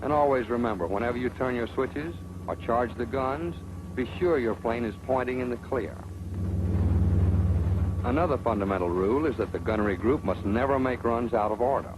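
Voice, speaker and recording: A man's voice: mid-range voice, moderate pace, background noise. A man narrating a 1940s training film in an authoritative, sardonic manner. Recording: old 1940s film soundtrack with music.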